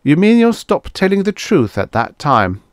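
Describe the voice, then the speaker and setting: Greedy male explorer for a science fiction storybook a man acts it out: pushy, dismissive, lively delivery, dry sound. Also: a little high, normal speed, dry recording, pitched above the performer's own voice. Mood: incredulous, excited. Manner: brash, forceful, dismissive. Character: greedy, stubborn, impatient male space explorer.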